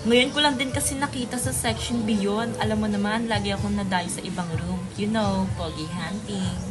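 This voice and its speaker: A woman's voice: mid-range voice, fairly even intonation, normal speed, over music, lightly acted. A woman acting a gossipy, boy-crazy teenage classmate with a Filipino accent in a comedic radio drama, brash and teasing in slangy banter.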